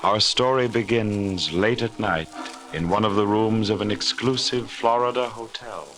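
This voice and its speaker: Actor as slow, eerie mystery-show narrator. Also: male voice, lowish voice, close to an everyday voice. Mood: ominous, solemn. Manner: hushed-solemn, dramatic, deliberate phrasing. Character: solemn, eerie host of a haunting mystery show. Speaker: announcer and narrator. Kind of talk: 1940s radio mystery narration. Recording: old radio recording. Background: music.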